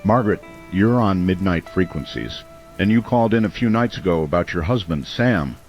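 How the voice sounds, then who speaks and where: An actor as a doomed late-night radio host, a man with a low, somber voice. He is steady, caring and earnest in this horror radio drama. The sound is slightly dull, with faint music beneath. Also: normal speed.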